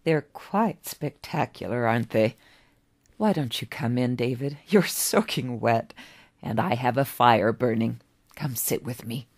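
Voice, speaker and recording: A woman voicing a gentle, wistful, very old man in a story, musing kindly with little rhetorical asides. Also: a bass voice, a little slow, full character voice.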